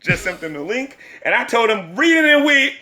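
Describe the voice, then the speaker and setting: A man's voice: very high-pitched, very animated, a little fast, pushed and bright. A man giving a preachy, exclamatory, rambling monologue into a phone held at arm's length.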